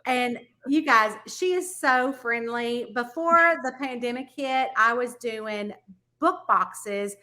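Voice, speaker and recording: A bubbly woman with a Southern US accent hosts a casual podcast chat, gushing and laughing easily. Her voice sits a little high and sounds bright. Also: slow pace. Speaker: podcast host.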